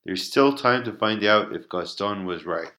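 Low-pitched man reading an article aloud. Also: lively intonation, measured pace, pushed and bright. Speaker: author reading own article. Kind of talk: scripted article narration.

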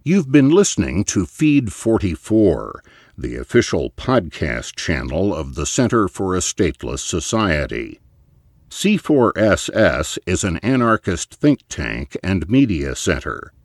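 A man's voice: low-pitched, big pitch swings, unhurried, no room sound, clean studio sound. A man working as a podcast announcer, reading a scripted show introduction and sign-off.

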